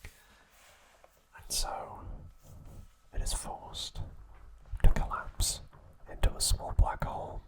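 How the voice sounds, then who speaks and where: A man hosting an ASMR video, narrating trivia in a soft, deliberate, unhurried whisper. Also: low-quality recording. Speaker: ASMR host.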